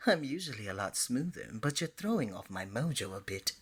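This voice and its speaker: A flirty, easily flustered god of love voiced by a woman for an ASMR romance roleplay. The voice sits low and swings freely up and down, with a husky breathiness that slips toward a near-whisper. The manner is playful, coaxing and openly affectionate. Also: big pitch swings, a little fast, full character voice. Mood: smitten, flustered. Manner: coaxing, playful, pet names like sweetie and honey.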